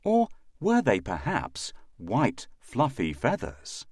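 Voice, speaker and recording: A man's voice: medium pitch, wildly swooping pitch, slow, darkish tone, dry recording, studio quality. A man narrating a scripted fairy tale for children as a storyteller.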